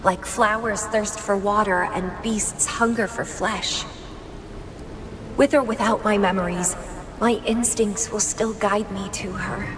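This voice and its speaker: An actress voicing a proud, obsessive young female warrior in a video game, with a theatrical, brooding, deliberate delivery. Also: mid-range voice, fairly even intonation, background noise, close to an everyday voice. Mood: resolute, wistful. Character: proud, obsessive young female warrior, battle-hungry, fighting her own decline. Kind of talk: dramatic video game voice acting. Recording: long reverb, music behind. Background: game music.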